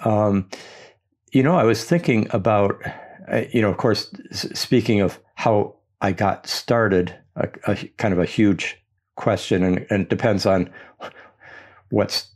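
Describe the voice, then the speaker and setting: A man's voice: on the low side, a little slow, darkish tone. An older man from the United States speaking reflectively with many hesitations, restarts and fillers. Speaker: speaker on his intellectual path. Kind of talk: reflective personal talk.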